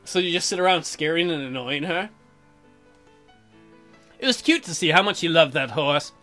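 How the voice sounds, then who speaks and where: A woman let's play host with a lively, varied delivery. She reads visual novel text aloud and breaks off into joking asides over music. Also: low-pitched, normal speed.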